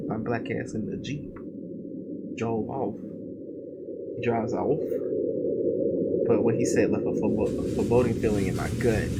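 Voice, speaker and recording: A man reading a visual novel aloud, breaking off into profane, slangy joking asides. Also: mid-range voice. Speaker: let's play gamer. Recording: loud background noise.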